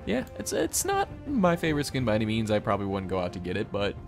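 A man's voice: on the low side, wildly swooping pitch, fast, gravelly. A young man hosting a gaming video, giving casual, rambling showcase commentary in an informal, easily impressed way. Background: game music.

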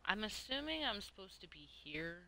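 A woman's voice: medium pitch, big pitch swings, moderate pace, vocal fry, bright, forceful tone, rough recording. A woman streaming a game and chatting casually, hesitant and rambling, with free swearing. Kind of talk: casual livestream chat while playing.

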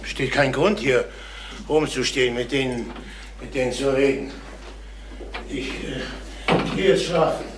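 A man's voice: mid-range voice, lightly acted. Man acting agitated, exhausted father in roomy recording. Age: middle-aged. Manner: halting, repetitive, demanding. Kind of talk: German crime radio drama acting. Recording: roomy, faintly noisy old radio recording.

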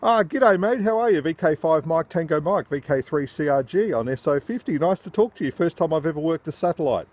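A man's voice: a little high, expressive, a little fast, few pauses. A man in his forties with an Australian accent, making amateur radio contacts and chatting casually. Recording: noisy handheld phone video, loud background hiss.

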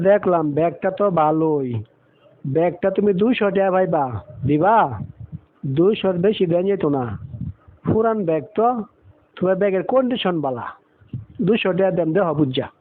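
Through a thin, phone-like sound comes a man acting as a village buyer in a moral cartoon. His voice is rather high, blunt and haggling, with speech. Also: normal speed, pauses often, close to an everyday voice. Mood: appraising, bargaining. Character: adult male bargaining bag buyer, blunt. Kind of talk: Bengali moral story cartoon dialogue. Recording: thin, phone-like band-limited recording.

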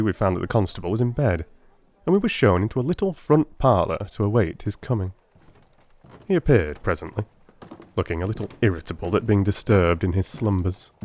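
A man's voice: low voice, a little mellow, slight background noise. A man reading scripted narration as a dramatic audiobook narrator.

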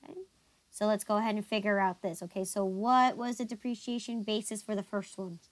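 A woman's voice: mid-range voice, steady tone, fairly quick. A woman tutoring accounting over a video call, asking patient, guiding questions and checking answers. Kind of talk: guiding a student through a worksheet.

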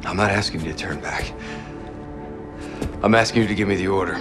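A man's voice: deep voice, a little fast, music in the background, natural voice. An actor playing an earnest, loyal American soldier in his thirties, intense but restrained, in dramatic game dialogue. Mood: pleading, resolved. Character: earnest, loyal male soldier, willing to sacrifice himself. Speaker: actor in a military game cutscene.

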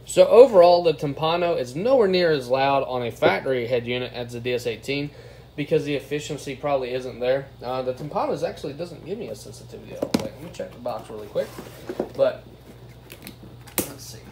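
A man's voice: medium pitch, lively intonation, fairly quick. A man giving a casual hands-on product review in an informal, plain-spoken way. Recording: clear background noise, room ambience.

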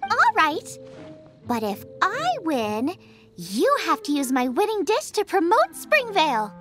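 A woman's voice: extremely high pitch, huge pitch swings, measured pace, fairly bright tone, exaggerated voice. A woman voicing a spirited, confident young girl chef in a video game, speaking in an emphatic, bubbly way over music. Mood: challenging. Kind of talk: acted video game dialogue. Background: game background music.

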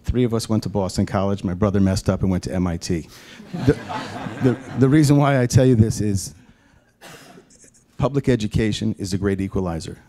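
A man's voice: on the low side, a little fast, warm tone, slight background noise. A man speaking as a panelist at a community event, with wry, self-deprecating humor. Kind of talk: panel discussion answer. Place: auditorium stage panel.

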